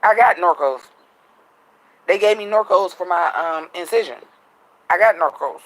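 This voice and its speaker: A man vlogging at home at night, venting bluntly and profanely in a casual monologue, his voice a little raspy. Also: sits high, unhurried, fairly bright tone, low-quality recording.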